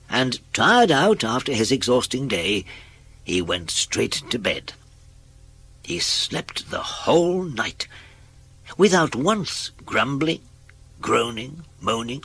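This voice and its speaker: Brief: male storyteller for children's audio tale, animated intonation, slow delivery, music underneath. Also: medium pitch, creaky, breathy edge. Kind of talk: scripted children's story narration.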